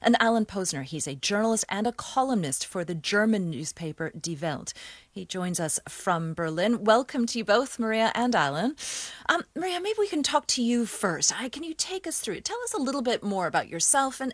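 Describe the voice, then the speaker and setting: Woman, radio presenter; friendly, halting, close dry studio mic. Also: fairly high pitch, sing-song, no room sound. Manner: friendly, hesitant restarts. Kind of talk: radio discussion hosting.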